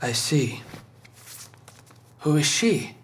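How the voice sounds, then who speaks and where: An actor playing a calm, principled police inspector in an audio drama, composed, terse and earnest. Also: male voice, on the high side, extremely slow, slight background noise, exaggerated voice. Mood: gentle, earnest.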